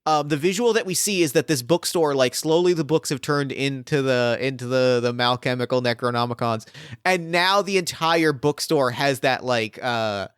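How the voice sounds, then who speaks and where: A man's voice: medium pitch, normal speed, a bit bright, smooth, dry recording, studio quality. A man hosting as game master, improvising narration for a tabletop roleplaying game with enthusiasm, often repeating words as ideas form. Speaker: game master, podcast host.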